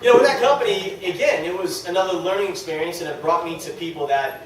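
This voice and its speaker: A man with a New England accent and a slightly high voice talks casually to a team meeting. He is self-deprecating and keeps checking in with his listeners. The room is very echoey and he sounds set back from the microphone. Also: fast talker. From New England, United States. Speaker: speaker at a direct-sales team meeting. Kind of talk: informal motivational talk to a small group. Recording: very echoey room, distant mic, faint noise.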